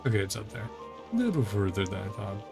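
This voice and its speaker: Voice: low-pitched, big pitch swings, fast talker, creaky. A streamer giving casual game commentary in short, offhand fragments, reading and paraphrasing dialogue along the way. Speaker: streamer playing a video game. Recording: game music behind the voice. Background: video game music.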